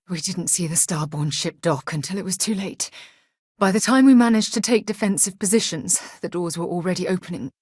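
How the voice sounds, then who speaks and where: An actress playing an earnest, principled woman space explorer and leader in a video game, with a crisp, articulate, slightly husky delivery. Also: medium pitch, no room sound, clean studio sound, heavily acted. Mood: grave. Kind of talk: scripted game dialogue.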